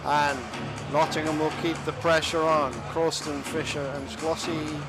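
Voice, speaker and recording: A man giving live play-by-play commentary on an ice hockey game, chatty and self-deprecating, sometimes pausing to find his words. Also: fairly high pitch, flat delivery. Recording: music clearly audible behind the voice. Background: music.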